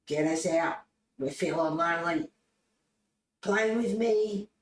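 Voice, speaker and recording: A woman voicing lonely colouring pencils that plead playfully in a drawn-out sing-song to be used. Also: lowish voice, pitched above the performer's own voice. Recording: distant mic, echoey room, faint noise.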